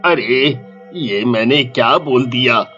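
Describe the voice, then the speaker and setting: A shifty, money-minded hotel manager for a cartoon, done by a man. He talks slowly and slyly. The sound is a little muffled, with music behind. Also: medium pitch, sharp tone. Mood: scheming. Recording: dull lo-fi audio with music behind.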